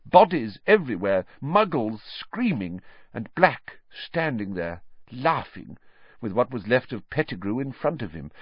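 A man's voice: mid-range voice, slightly rough, no room sound, dull audio, voice pushed up. A man reading an audiobook and voicing a fussy, self-important middle-aged wizard minister who talks in a pompous, rambling way. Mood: grave. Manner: pompous, anecdotal, then evasive.

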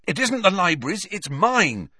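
A man's voice: on the high side, very animated, a little slow, a bit bright, slightly rough, no echo, clean studio sound, voice pushed up, exaggerated voice. A man voicing a stubborn, suspicious teenage schoolboy in an audiobook, pressing his point insistently. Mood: defensive. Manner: muttering, insistent.

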